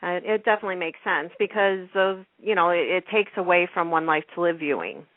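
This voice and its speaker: A woman radio host chats casually, as if with a friend. Her voice is on the low-fidelity, narrowband sound of a telephone line, dry and close with no room around it. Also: fairly quick, no echo. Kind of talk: casual chat.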